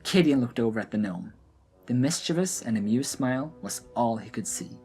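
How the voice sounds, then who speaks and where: A man narrating a scripted fantasy audio drama in a deliberate, dramatic storytelling manner. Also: mid-range voice, lively intonation, a little mellow. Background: background music.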